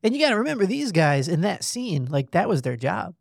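Gravelly-voiced joking podcast co-host. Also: male voice, high voice, big pitch swings, fairly quick, dry recording, clean studio sound. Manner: joking, chatty storyteller, laughs often. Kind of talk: casual podcast chat.

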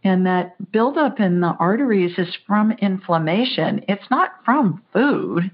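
A woman hosting a call-in show, talking in a brisk, chatty, folksy way. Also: mid-range voice, animated, husky. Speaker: psychic show host. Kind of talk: call-in radio chat. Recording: muffled lo-fi audio.

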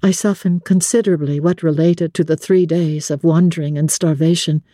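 A woman reading classic literature as a dramatic scripted narration, in a measured, expressive, literary manner. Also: deep voice, no echo, studio quality. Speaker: audiobook narrator.

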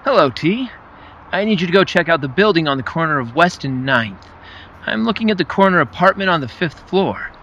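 A man's voice: fairly high pitch, animated, fairly quick, a bit bright, lo-fi sound, a little hiss or hum. A man playing a confident, friendly president in an audio drama.

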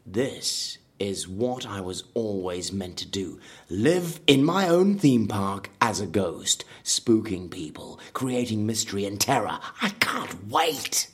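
An actor playing an eccentric theme park designer in an audio drama, intense and morbidly enthusiastic, speaking in terse bursts. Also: male voice, lowish voice, lively intonation, slow pace, few pauses, slightly rough, exaggerated voice. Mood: dreamy, eager.

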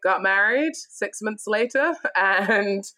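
A woman's voice: medium pitch, expressive, takes it slowly, bright, forceful tone, rough recording. A young adult woman, a guest chatting cheerfully and laughing easily on a podcast. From UK, born in Scotland and raised in northern England. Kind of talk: casual podcast conversation.

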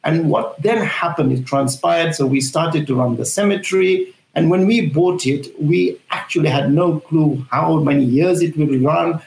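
An older man from Zambia, answering interview questions earnestly, with frequent pauses and fillers. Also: fairly high pitch, creaky, darkish tone. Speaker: guest, cemetery founder. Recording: echoey room.

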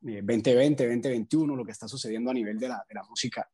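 A man, a singer answering interview questions reflectively, with measured phrasing. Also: mid-range voice, warm tone, slightly rough. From Colombia. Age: 20s. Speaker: salsa singer, interview guest.

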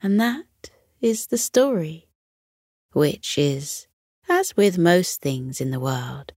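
A woman narrating a scripted children's bedtime story in a gentle, soothing manner. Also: low-pitched, very animated, takes it slowly, dry recording, studio quality.

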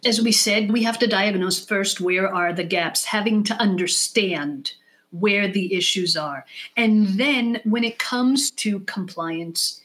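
A woman giving explanatory advice as an expert podcast guest, speaking deliberately and emphatically, often in lists. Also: medium pitch, fairly bright tone. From born in the United States, raised in Israel from age six.

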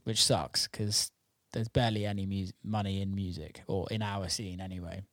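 A man's voice: low-pitched, level tone, normal speed, a bit bright, no echo, studio quality. A man hosting a podcast, talking in a casual, rambling, informal way about his line of work. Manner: informal, rambling, occasional swearing. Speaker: podcast host and music producer who runs a record label. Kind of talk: casual podcast chat about the music scene.

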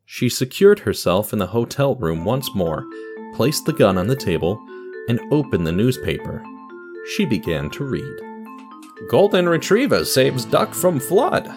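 A man's voice: medium pitch, big pitch swings, unhurried, music in the background. A man who hosts an audio drama podcast, reading scripted narration and announcements in a dramatic storytelling way.

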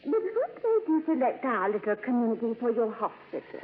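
A woman's voice: fairly high pitch, very animated, brisk. An actress playing a modest, polite, easily flustered small-town woman with a hesitant manner in a 1950s radio drama. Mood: polite, curious. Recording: tinny, slightly noisy 1950s radio broadcast.

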